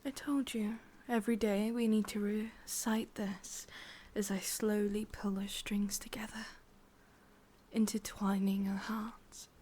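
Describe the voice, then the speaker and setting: A woman's voice: mid-range voice, flat delivery, slow, warm tone, slightly rough. A woman with an English accent playing a possessive, sadistic ancient witch in a whispered ASMR roleplay, coaxing and controlling. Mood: possessive, teasing.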